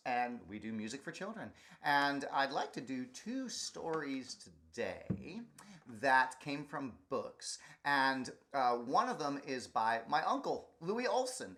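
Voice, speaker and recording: A man's voice: mid-range voice, a bit bright. A man, a children's performer chatting casually and animatedly as he introduces stories. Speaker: children's music performer and storyteller. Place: daycare.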